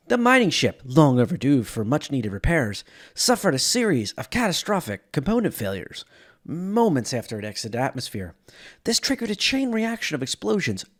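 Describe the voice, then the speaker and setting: A man giving a dramatic, theatrical reading of scripted lore as a narrator, with strong emphasis. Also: fairly high pitch. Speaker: channel host and narrator.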